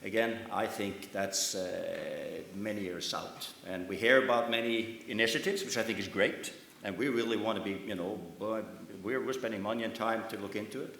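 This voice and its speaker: A man speaking as a shipping company chief on a conference panel, halting, with many restarts and fillers. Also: fairly low pitch, creaky, a bit bright. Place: conference hall. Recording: echoey conference room.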